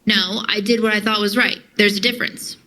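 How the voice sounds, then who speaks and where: Woman playing a piercingly bright, defiant female soldier. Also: mid-range voice, fairly even intonation, a little fast, close to an everyday voice. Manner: forceful, argumentative. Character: defiant, headstrong female soldier confronting her commander. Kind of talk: audio drama acting.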